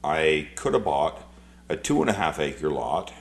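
Deep-voiced blunt man in his forties. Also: big pitch swings, unhurried, creaky. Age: 40s. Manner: blunt, swears a lot, rambling. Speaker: podcast co-host. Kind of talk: casual chat.

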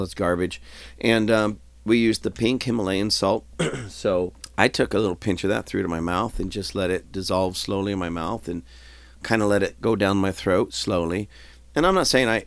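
A man hosting his own podcast, nasal and stuffed up from a cold, talking in a rambling, folksy way and often clearing his throat. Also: fairly low pitch, no room sound. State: congested, nasal. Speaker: podcast host. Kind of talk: casual solo podcast talk.

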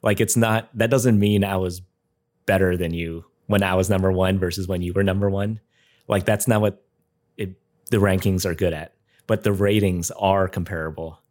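A man with a somewhat low voice hosts a podcast in a loose, conversational way. He sounds keen and uses filler words often. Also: steady tone, normal speed, darkish tone. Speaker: podcast host.

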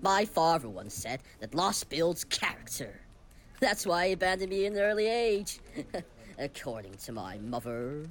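A man playing a forgetful, tactless company boss in a comic audio play, halting and cheerfully oblivious. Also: fairly high pitch, big pitch swings, fairly bright tone. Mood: rambling, oblivious. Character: rambling, absent-minded, tactless male company boss.

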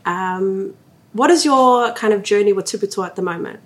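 A woman hosting a podcast in a friendly, relaxed way. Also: medium pitch, normal speed. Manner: friendly, relaxed, mixes in greetings. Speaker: podcast host.

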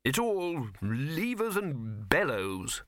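Guy doing a gruff blustery old-school university boss, forceful, swinging pitch, audiobook. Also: wildly swooping pitch, unhurried, no room sound, clean studio sound, full character voice. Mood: blustering. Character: blustering, blunt male arch-chancellor of a university.

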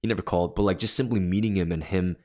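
A deep-voiced man, a podcast co-host and worship leader, telling a personal story in casual chat, rambling with many fillers and restarts. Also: fairly even intonation, very fast, a little mellow.

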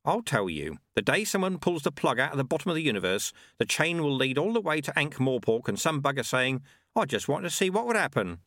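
A man puts on a rascally old monk mentor for an audiobook, pitched well above a usual male voice. He is chatty, irreverent and unbothered, with a lively swing to his phrases. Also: fairly quick, no echo, clean studio sound. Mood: dry.

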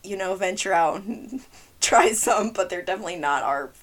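A woman's voice: medium pitch, lively intonation, moderate pace. A bubbly woman co-host chats casually, her voice scratchy and worn thin. She giggles often and fills her talk with "like". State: scratchy, partly lost voice. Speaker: podcast co-host. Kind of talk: casual chat.

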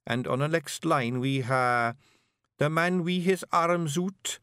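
A man voicing a boastful little male fairy clan leader with a broad Scottish accent, blustering and quick to snap. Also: a little high, sharp tone, smooth, no echo, studio quality, voice pushed up.

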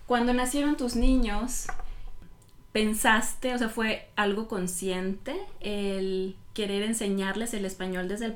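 A woman, hosting a podcast interview and asking questions in a measured, friendly way with many restarts. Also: a little high. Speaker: podcast host.

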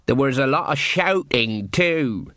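A man's voice: mid-range voice, animated, measured pace, pushed and bright, dry recording, clean studio sound. A man voicing simple, bewildered rustic village tradesmen in a storybook reading.

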